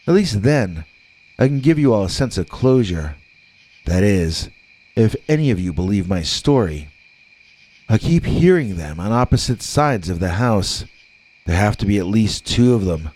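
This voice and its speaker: A man reading scripted scary stories as a narrator, with dramatic, deliberate delivery and drawn-out words. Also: fairly low pitch, sing-song, darkish tone.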